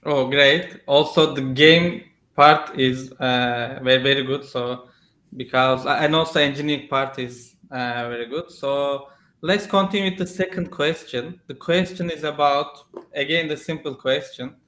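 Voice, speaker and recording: A man's voice: medium pitch, fairly even intonation, unhurried, pushed and bright. A man hosting an interview in English, recorded with a roomy echo. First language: Turkish. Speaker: interview host. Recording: roomy, echoey sound.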